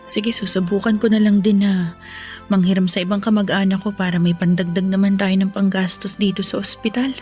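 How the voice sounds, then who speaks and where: A woman's voice: medium pitch, level tone, brisk, a little mellow, soft background music, natural voice. A woman acting as a distraught young wife and new mother in a Filipino radio drama, emotional and pleading. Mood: subdued, apologetic.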